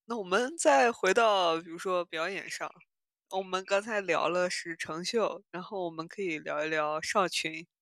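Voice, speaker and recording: A woman's voice: lowish voice, moderate pace, fairly bright tone, no room sound, clean studio sound. A woman hosting a podcast in casual chat, musing and building her points in short phrases. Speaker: podcast host. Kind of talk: casual chat reviewing audio dramas.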